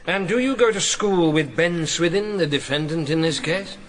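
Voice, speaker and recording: A man's voice: fairly high pitch, moderate pace, lightly acted. An actor playing a methodical, courteous male prosecuting barrister in a radio drama, putting formal questions. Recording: noticeable background noise and ambience.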